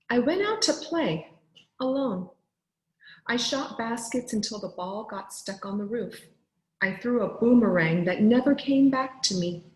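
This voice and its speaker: Unhurried woman teacher in an echoey room. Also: medium pitch, a little mellow. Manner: deliberate, clearly phrased teacher delivery. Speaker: teacher reading aloud to pupils. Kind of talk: expressive storybook read-aloud for children.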